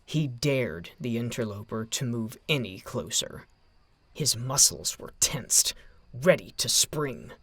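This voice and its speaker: A man narrating a scripted story in a dramatic, expressive storytelling manner. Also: mid-range voice, takes it slowly, breathy edge, dry recording.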